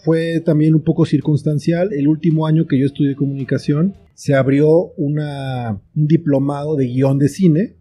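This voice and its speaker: A man's voice: on the high side, steady tone, moderate pace, hardly any pauses, dark tone, husky. A man with a Mexican accent talking casually and reflectively as an interview guest, explaining things like a storyteller. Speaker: interview guest, writer and filmmaker.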